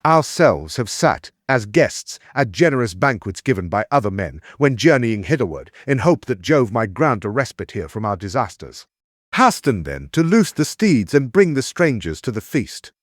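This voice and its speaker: A man's voice: mid-range voice, very animated, moderate pace, no echo, studio quality. An actor playing a proud, hospitable king in an epic audio drama, with stately, declamatory archaic diction. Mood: indignant, scolding. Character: proud, hospitable fair-haired warrior king, commanding and worldly.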